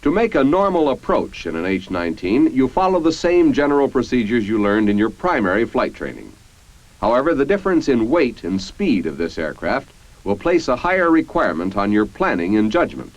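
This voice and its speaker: An American man reading scripted 1950s military training-film narration in a formal, measured, instructional manner. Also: mid-range voice, very animated, no echo. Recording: dull, band-limited 1950s film soundtrack.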